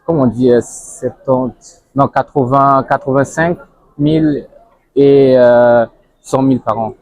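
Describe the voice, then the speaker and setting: A man's voice: mid-range voice, steady tone, a little slow, a little mellow, no room sound, rough recording. A young man giving casual interview answers, piecing them together with hesitations. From Zurich, Switzerland. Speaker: interviewee, banker and model.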